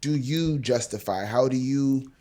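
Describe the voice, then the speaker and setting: A man's voice: mid-range voice, a little slow, dark tone. A man with an American accent chatting casually as he interviews a guest as podcast host.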